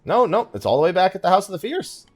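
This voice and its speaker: A man's voice: high-pitched, big pitch swings, fast talker. A man hosting a let's play with casual gaming commentary, thinking aloud and muttering asides to himself.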